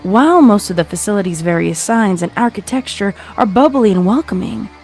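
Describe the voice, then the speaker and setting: A man reading a scripted dark horror story as its narrator. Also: sits high, expressive, fairly quick, soft background music. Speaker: fan fiction narrator.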